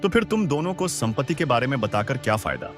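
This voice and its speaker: An old village father played by a man in a cartoon moral tale, with lively, swinging intonation. He sounds worried and disappointed with life, and clear music plays behind him. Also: mid-range voice, fast, close to an everyday voice. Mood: patient. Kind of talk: Hindi cartoon moral story dialogue.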